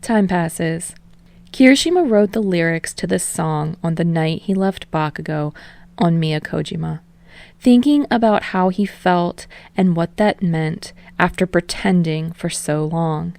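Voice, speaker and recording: A woman narrates a story in a scripted audiobook style. Her voice is low with noticeable vocal fry, and the sound is very dry with no room to it. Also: measured pace, darkish tone, dry recording. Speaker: audiobook narrator of fan fiction.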